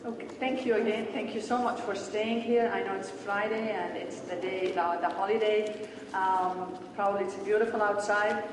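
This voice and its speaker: A woman from Syria, giving an earnest medical lecture in short explanatory phrases and answering questions in a large hall. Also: mid-range voice. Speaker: pathology lecturer. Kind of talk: conference lecture and Q&A. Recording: echoey hall, distant mic, background noise.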